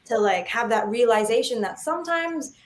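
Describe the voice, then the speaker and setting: A woman actor whose first language is Gujarati, raised in Australia, answering interview questions in a thoughtful, measured way. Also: a little high, expressive.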